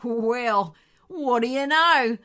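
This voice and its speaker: A woman's voice: fairly high pitch, extremely slow, sharp tone, exaggerated voice. A woman voicing a menacing male lead dingo in a children's story, growly and taunting, with matey slang. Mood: amused, sceptical.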